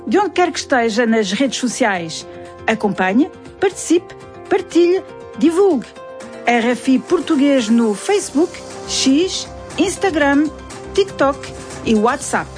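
Woman announcer, emphatic promo read, high and unhurried, over soft music. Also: lively intonation.

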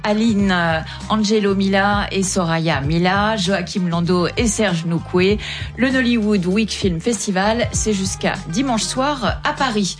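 A woman's voice: mid-range voice, a little slow, soft background music. Woman radio host with a hesitant delivery. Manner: hesitant, many "euh" fillers. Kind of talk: radio presenting.

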